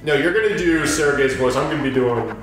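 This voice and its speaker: A man's voice: mid-range voice, brisk, a bit bright. A man hosting a let's play, joking and sweary, mixing casual banter with amateur readings of game dialogue. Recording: very echoey with game music. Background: game music.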